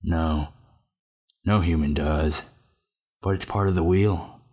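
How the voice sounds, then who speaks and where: Older male sage for audio drama, performed by a man: deep, husky, grave but gentle. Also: steady tone, slow pace. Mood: gentle, solemn. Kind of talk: amateur audio drama acting.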